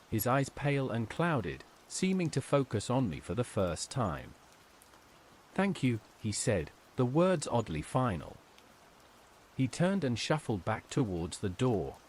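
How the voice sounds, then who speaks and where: A man narrates a scripted horror tale in the first person. His pitch is ordinary for a man but moves with a lot of expression. Soft rain sounds behind the voice. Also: slight background noise.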